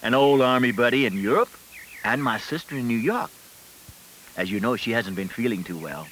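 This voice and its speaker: A man's voice: medium pitch, moderate pace, fairly bright tone, lightly acted. An older American man playing a kindly, encouraging retired schoolteacher in a scripted educational film, explaining things patiently. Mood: friendly, neighborly. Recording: faintly noisy 1980s 16mm film soundtrack.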